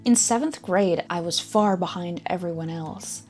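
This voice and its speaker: A woman's voice: fairly low pitch, moderate pace, a little mellow, a little hiss or hum. A woman in her twenties from Norway with a Norwegian accent, narrating her own story in an earnest, upbeat way. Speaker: vlogger. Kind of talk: scripted personal narration.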